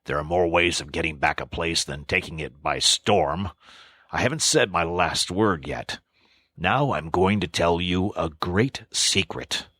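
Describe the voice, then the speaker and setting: A grave old badger who speaks with weight and authority, low and deliberate as a man puts him on. His voice is deep and dry, recorded close with no room around it. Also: pushed and bright, no room sound, exaggerated voice. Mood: gentler stern. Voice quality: gruff, severe, measured. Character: stern, wise old male badger. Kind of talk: audiobook character voice.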